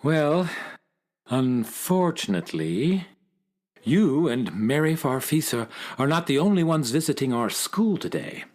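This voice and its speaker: A man's voice: a little high, big pitch swings, unhurried, a little mellow. A man playing an earnest, courteous male schoolteacher in a children's audio drama, speaking politely. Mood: earnest, concerned.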